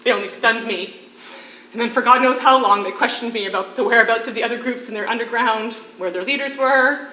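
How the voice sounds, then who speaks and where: A woman acting in a stage play as a defiant condemned prisoner and devoted mother, speaking in earnest, deliberate phrases. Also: fairly high pitch, pushed and bright. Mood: grieving, grave. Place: theatre stage, live performance. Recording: echoey stage recording with faint noise.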